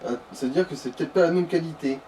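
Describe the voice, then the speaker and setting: A man's voice: a little high, fast talker, dark tone. A man chatting casually as a gaming companion, explaining things and giving tips. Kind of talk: casual chat. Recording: slightly distant mic, background noise.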